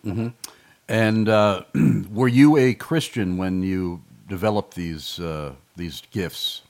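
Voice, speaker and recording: A man hosts a radio interview, jovial and quick to joke. His voice sits a little low for a man. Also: a little mellow. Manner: jovial, joking. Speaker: radio host.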